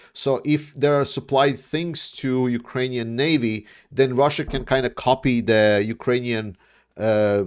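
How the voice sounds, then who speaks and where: A man from Latvia, hosting a casual livestream and answering viewer questions in a rambling way, with many fillers and restarts. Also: mid-range voice. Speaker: livestream host.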